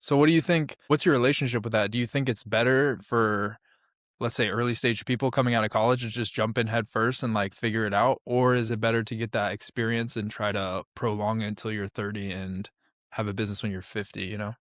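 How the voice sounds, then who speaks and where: A man's voice: on the low side, fairly even intonation, brisk, a bit bright, no echo, studio quality. A man hosting a podcast interview in a casual, conversational way. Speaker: podcast host.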